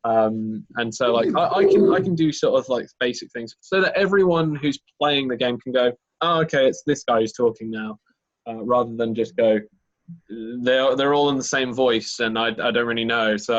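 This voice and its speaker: A man chats casually about running tabletop games, his voice at an average pitch. He rambles with many fillers and restarts. The sound is dull and lo-fi. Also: normal speed. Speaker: game master. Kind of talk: casual podcast chat.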